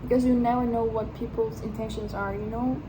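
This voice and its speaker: A woman's voice: fairly high pitch, flat delivery, brisk, noisy background. A young woman from Turkey, a non-native English speaker, talking casually to camera in a rambling, hesitant way with many restarts. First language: Turkish. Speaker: vlogger. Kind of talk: casual personal vlog.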